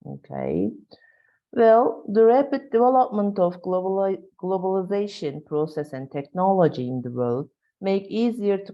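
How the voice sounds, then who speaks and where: Low, mellow Turkish-accented woman lecturing. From Turkey. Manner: halting, with frequent fillers and tag questions. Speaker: university lecturer. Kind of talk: webinar lecture. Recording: dull lo-fi webinar audio.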